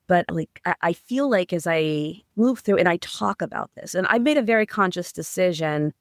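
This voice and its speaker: This is a middle-aged psychotherapist and professor speaking as a podcast guest. The voice has a gravelly creak running through it. The talk is earnest and candid, with stumbles, repeated words and frequent "like" and "right?". The recording is dry and close to the mic. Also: medium pitch, lively intonation, moderate pace, dry recording. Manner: earnest, repeats words when restarting, uses "like" and "right?" often. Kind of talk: candid interview answers.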